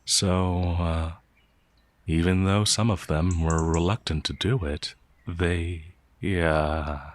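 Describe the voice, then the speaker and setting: A man as a slow, low-voiced timid young werewolf. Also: steady tone. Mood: shy, gentle. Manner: hesitant, halting, stammering, easily flustered. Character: gentle, timid, naive young male werewolf peasant. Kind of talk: ASMR fantasy audio roleplay.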